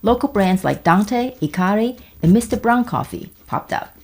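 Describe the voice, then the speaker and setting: A woman's voice: mid-range voice, animated, normal speed, a little mellow. A woman in her fifties from Taiwan, narrating a scripted personal video essay with wry asides. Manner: wry, conversational, with asides and jokes.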